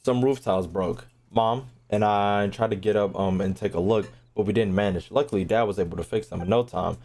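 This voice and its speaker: A man's voice: fairly low pitch, a little fast, a little mellow. A man doing casual live gameplay commentary as a streamer, informal and profane, sometimes reading on-screen text aloud. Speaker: game streamer. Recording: game music behind the voice.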